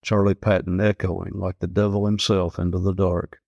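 A man's voice: sits low, flat delivery, normal speed, vocal fry, darkish tone, dry recording, dull audio. A man reading a literary travel story aloud as scripted narration.

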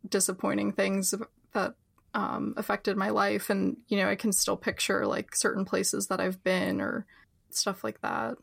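Creaky-voiced New woman. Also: medium pitch, unhurried, dry recording, studio quality. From New Jersey. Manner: chatty, many fillers and laughs. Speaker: book editor, podcast guest. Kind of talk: casual interview talk.

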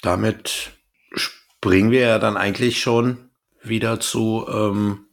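Low-pitched man chatting. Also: fairly even intonation, takes it slowly, vocal fry. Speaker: podcast co-host. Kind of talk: casual discussion of an audio drama, retelling and commenting.

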